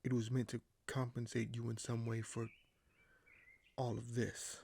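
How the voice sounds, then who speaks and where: An actor playing a scheming, courteous young king in an audio roleplay, speaking formally and hesitantly with deliberate pauses. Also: mid-range voice, level tone, gravelly, darkish tone, slight background noise. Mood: earnest, probing. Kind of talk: audio roleplay acting, addressed to the listener.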